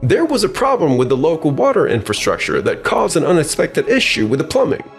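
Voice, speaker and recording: A man's voice: a little high, very animated, a little fast, faint music behind. A man playing a stern, accusing client in a manga dub, complaining in a formal manner. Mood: angry. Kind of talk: expressive manga dub voice acting.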